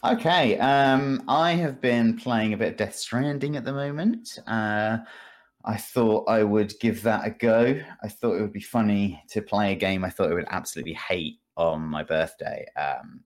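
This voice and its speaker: A man's voice: on the low side, normal speed. A man chatting casually on a video game podcast in a wry, sardonic, jokey way. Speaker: podcast guest discussing video games.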